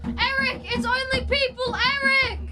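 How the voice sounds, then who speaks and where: A woman's voice: extremely high pitch, level tone, unhurried, distant mic, loud music behind the voice. A young woman playing a jumpy, bossy, terrified boy in a radio play. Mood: panicked. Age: young adult.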